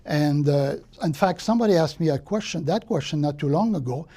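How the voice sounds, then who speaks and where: A man's voice: fairly high pitch, a little fast, warm tone. An older man from Quebec with a Quebec French accent, a native French speaker telling his life story in an interview and often tagging his points with "no?". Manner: thoughtful, repeats words, ends points with "no?". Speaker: guest.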